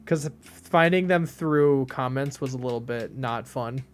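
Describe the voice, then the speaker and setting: A young man in his late teens streaming games and chatting casually, with a deadpan delivery and lots of rambling fillers. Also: medium pitch, unhurried, a little hiss or hum. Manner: deadpan, flat delivery even when joking; rambling, many fillers and restarts. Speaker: game streamer. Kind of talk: casual live-stream chat.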